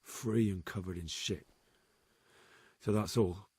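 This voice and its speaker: A man's voice: low voice, steady tone, takes it slowly, darkish tone, breathy edge, exaggerated voice. An actor playing an earnest young man in a video game cutscene, a captive turned messenger. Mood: wary, dry.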